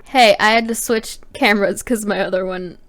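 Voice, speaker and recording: A woman's voice: mid-range voice, fairly even intonation, moderate pace. A woman chatting informally as an illustrator talking through her own drawing process. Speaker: illustrator, video creator. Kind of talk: casual chat over a speedpaint. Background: music.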